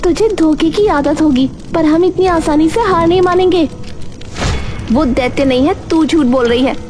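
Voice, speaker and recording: A woman's voice: high voice, normal speed, music in the background, lightly acted. A woman voicing a brave, earnest young village girl in a cartoon story. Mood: defiant. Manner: earnest, defiant. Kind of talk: cartoon voice acting.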